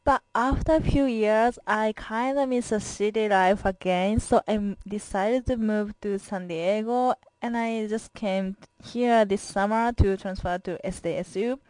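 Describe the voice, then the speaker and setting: A young woman with a Japanese accent gives a self-introduction for her class, her English careful and simple. Her voice is soft and mellow. The recording is dry and close, with no room sound. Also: medium pitch, unhurried, few pauses, no echo, low-quality recording. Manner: careful, earnest, with simple phrasing and small grammar slips. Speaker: college student.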